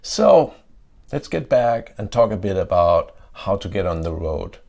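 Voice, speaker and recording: Middle-aged Danish man, deep gravelly voice, conference speaker with loose filler-heavy delivery. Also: sing-song, a little slow, a little mellow. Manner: adds "yeah?" to check in with listeners; frequent "uh" fillers and restarts. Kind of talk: tech conference talk. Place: conference stage.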